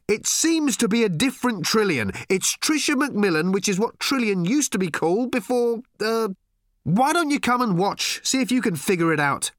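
A man voicing an excitable, panicky male character who shouts in a high, agitated voice. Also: dry recording, clean studio sound.

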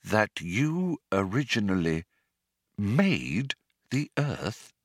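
A man plays a stunned, incredulous ordinary Earthman in an audiobook. He speaks haltingly and plaintively, with pitch that leaps about, in a very dry close recording. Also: wildly swooping pitch, a bit bright, no room sound. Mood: strained control. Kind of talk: audiobook character voice.

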